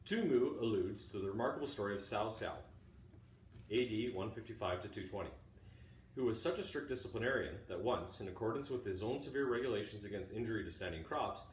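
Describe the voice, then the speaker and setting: A middle-aged man, a teacher reading aloud in a deliberate way, pausing often between short phrases. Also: fairly low pitch. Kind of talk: informal introduction and measured read-aloud of a classic book. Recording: roomy, distant mic, faint background noise.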